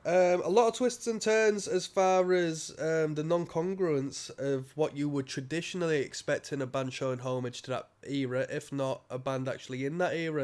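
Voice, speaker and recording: A man with a cold, giving a rambling casual album review full of ums and restarts. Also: fairly high pitch. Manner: rambling, many ums and restarts, occasional coughs. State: stuffy nose from a cold. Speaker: music reviewer.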